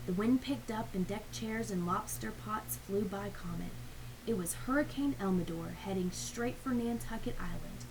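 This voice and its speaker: A woman of medium pitch reads a picture book aloud to children. She tells it expressively and drops into hushed little asides. Clear background noise runs through the recording. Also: normal speed. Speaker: read-aloud reader.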